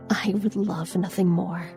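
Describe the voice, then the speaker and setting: An actress playing a tender, reassuring young woman in love in an intimate audio drama, with soft, breathy murmurs. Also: lowish voice.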